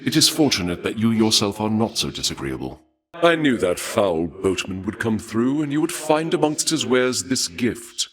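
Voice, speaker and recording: An actor playing a courtly god of war in a video game, speaking formally and with measured, suave menace and a taste for bloodshed. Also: male voice, on the low side, big pitch swings, fairly bright tone. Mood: courteous, pleased. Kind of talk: theatrical video game character dialogue.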